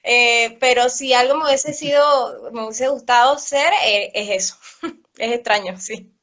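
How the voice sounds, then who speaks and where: Chatty Venezuelan woman, higher voice. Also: a little slow, fairly bright tone. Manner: chatty, laughs often, many fillers like "este". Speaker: cello teacher and orchestra program director. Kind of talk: casual interview answers.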